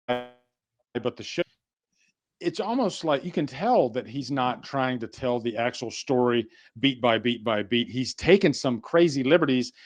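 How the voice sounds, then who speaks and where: A man co-hosting a podcast, discussing film and TV casually and repeating words as he works through his explanations. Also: mid-range voice. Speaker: podcast co-host.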